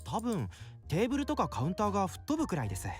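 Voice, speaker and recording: Boastful young anime nerd, super fast, polite, bouncy intonation, visual novel style. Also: male voice, sits high, wildly swooping pitch, soft background music. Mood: self-assured. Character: eager, smug young male bomb and intel geek. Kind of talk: Japanese visual novel voice acting.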